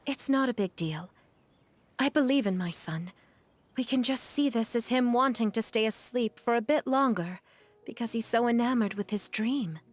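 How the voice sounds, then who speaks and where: A woman playing a gentle, resolute but sorrowful mother in a video game, speaking in a measured, earnest way. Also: fairly high pitch, natural voice. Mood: reassuring.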